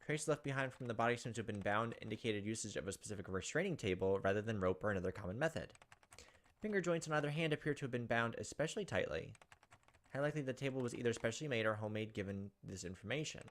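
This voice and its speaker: A man's voice: mid-range voice, animated, fast talker. A young man reading game text aloud and commenting casually as a let's play host. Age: young adult. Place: indoors at a computer, webcam.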